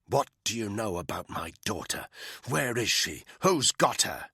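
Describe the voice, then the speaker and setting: A man's voice: sing-song, a little slow, bright, forceful tone, slightly rough, no echo, clean studio sound, heavily acted. A man doing a grim, clipped soldier. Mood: grim, commanding. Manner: clipped, low and firm. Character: hard-bitten male soldier, commanding and calm.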